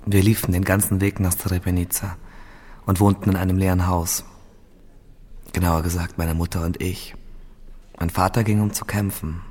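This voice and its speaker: An actor playing a quiet young village man displaced by war, telling his story plainly in a radio play. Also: low voice, little pitch movement, moderate pace, pauses often. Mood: subdued, recounting.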